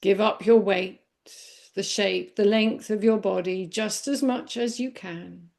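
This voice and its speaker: A woman leading a guided body scan meditation in a gentle, unhurried manner with long pauses. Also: mid-range voice, fairly even intonation, creaky. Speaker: meditation teacher.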